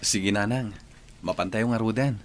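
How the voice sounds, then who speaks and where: A man's voice: lowish voice, normal speed, close to an everyday voice. A man playing a respectful, dutiful grown son in a radio drama. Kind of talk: Ilocano radio drama acting. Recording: radio drama over clear music.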